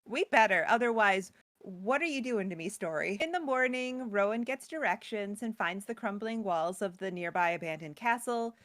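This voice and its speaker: A woman's voice: mid-range voice, animated, moderate pace, few pauses, a bit bright, dry recording. A woman co-hosting a storytelling show, recapping a tale casually with wry, playful asides. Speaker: co-host storyteller.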